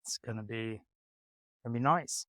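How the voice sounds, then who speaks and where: Male podcast host, relaxed, halting delivery, mid pitch, dry close sound. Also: dry recording. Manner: easygoing, halting, many "um"s. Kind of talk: casual interview chat. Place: hostel room.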